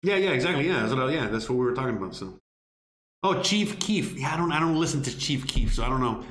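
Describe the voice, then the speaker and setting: A man's voice: mid-range voice, lively intonation, fast, a bit bright. Blunt, swearing man in a roomy echo. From Miami, United States. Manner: blunt, profane, rambling with many fillers. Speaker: livestream host answering viewer questions. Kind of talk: casual live Q&A chat.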